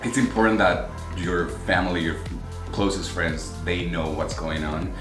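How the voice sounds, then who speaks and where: Low-voiced man, earnest chat. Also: normal speed, reverberant, music in the background. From Mexico City. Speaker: co-host. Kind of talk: casual Q&A chat.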